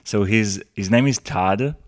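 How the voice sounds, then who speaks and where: A man with an Israeli accent co-hosting a podcast, speaking haltingly and tripping over long words. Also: fairly low pitch, no echo, studio quality. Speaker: podcast co-host. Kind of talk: casual podcast chat.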